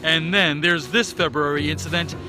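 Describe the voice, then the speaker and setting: American male investigative TV reporter, high-set voice, scripted narration over a prominent sound bed. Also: fairly even intonation, moderate pace, over music. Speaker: television news reporter.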